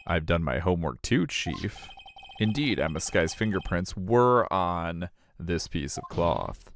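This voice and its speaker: A man playing a determined, confident young defence lawyer in a video game, arguing emphatically and deliberately. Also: deep voice, very animated, vocal fry, slight background noise, heavily acted.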